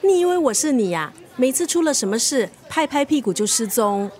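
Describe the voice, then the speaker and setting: An actress playing a scornful, sharp-tongued family friend in a radio drama, sarcastic and blunt, with a Singaporean accent. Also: female voice, high-pitched, sing-song, fairly quick. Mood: scornful, scolding. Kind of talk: Mandarin radio drama acting.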